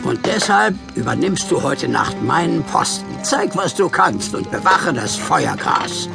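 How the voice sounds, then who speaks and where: An actor playing a wily, encouraging old grandpa fire dragon with a creaky voice in a children's audio drama. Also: male voice, mid-range voice, big pitch swings, measured pace, over loud music, exaggerated voice.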